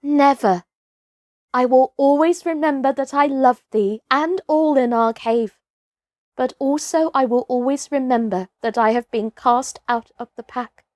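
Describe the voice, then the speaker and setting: A woman acts out a boy raised by wolves in a storybook. He is proud, thoughtful and loyal, speaking solemnly in archaic words with a raised, youthful pitch. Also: steady tone, unhurried, a little mellow, no room sound, studio quality. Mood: firm, loyal.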